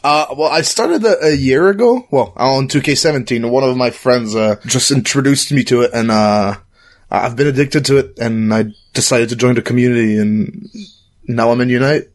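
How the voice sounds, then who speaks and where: Guy guesting on a podcast, mid pitch, kinda hesitant, lots of uhs. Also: moderate pace. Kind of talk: casual interview answers. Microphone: USB desktop condenser mic.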